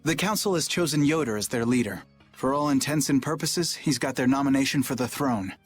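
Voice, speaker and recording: An actor playing an earnest, dutiful young knight in a video game, speaking politely and seriously. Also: male voice, medium pitch, a little fast, faint music behind, natural voice. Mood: measured.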